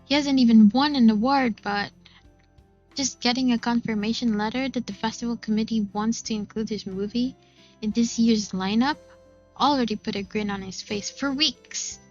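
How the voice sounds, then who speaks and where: A woman's voice: a little high, normal speed, darkish tone, lo-fi sound. A woman reading first-person visual novel narration in a dramatic storytelling style. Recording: music behind the voice.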